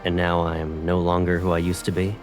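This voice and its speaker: A man plays a young military colonel in a dramatic game cutscene, controlling and protective. His low voice is intimate and tightly controlled, with brooding intensity over prominent music. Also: monotone, brisk, natural voice. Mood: wry.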